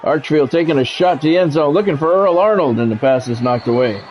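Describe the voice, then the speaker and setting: A man's voice: a little high, a little fast, darkish tone, slight background noise, low-quality recording. A man doing live play-by-play of a football video game, mixed with blunt, salty, conversational asides. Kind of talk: live sports play-by-play commentary mixed with casual chat.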